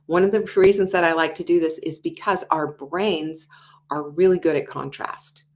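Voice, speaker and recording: A woman in her sixties hosting a motivational talk show, animated, acting out quoted inner voices and dropping to whispers. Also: low voice, moderate pace. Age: 60s. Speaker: host.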